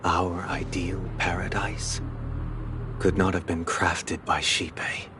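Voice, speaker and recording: An actor playing a calm, persuasive young male leader who speaks in a measured, formal, gently condescending way. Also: low voice. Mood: solemn. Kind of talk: video game voice acting. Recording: game cutscene with loud score. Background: orchestral music.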